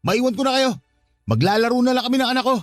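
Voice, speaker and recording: A man's voice: very high-pitched, fast, dry recording, soft background music. An actor playing a jealous, proud young father in a radio drama, arguing defensively. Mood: defensive, stubborn. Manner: argumentative, defensive. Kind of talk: Tagalog radio drama acting.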